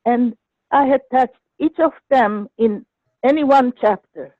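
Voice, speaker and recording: A woman's voice: a little high, unhurried, dark tone, no room sound. An older woman from Egypt calling in to a talk show, telling her story in an earnest, hesitant way with many restarts. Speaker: caller. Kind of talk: phone-in sharing a personal story. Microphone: telephone. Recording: dry tinny phone line.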